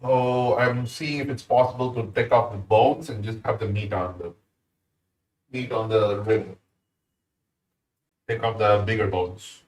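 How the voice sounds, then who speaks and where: A man's voice: on the low side, steady tone, unhurried, pauses often, a little mellow. A man with an Indian accent, casually chatting with viewers while cooking on a live stream. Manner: easygoing, chats with viewers while working. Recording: roomy sound, mic at a distance.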